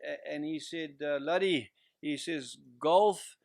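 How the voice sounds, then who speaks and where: An older man from Central Africa with a southern African accent, a guest pastor giving personal testimony in a measured, hesitant way. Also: fairly high pitch, gravelly, no room sound, studio quality. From Central Africa (Zimbabwe, Zambia region).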